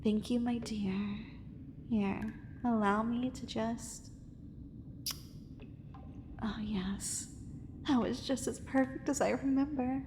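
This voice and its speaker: A woman's voice: on the high side, steady tone, slow, pauses often, long reverb tail, background noise. A woman voicing a possessive, tender mermaid in an ASMR romance roleplay, with formal, flowery, coaxing and intimate speech. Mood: coaxing, teasing, then enraptured. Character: possessive, adoring female angler mermaid, dangerous and tender.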